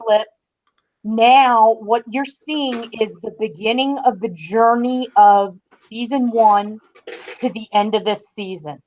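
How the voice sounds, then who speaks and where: A woman giving her review as a podcast guest, speaking in casual discussion with measured, emphatic phrasing. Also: fairly high pitch, a little mellow, lo-fi sound.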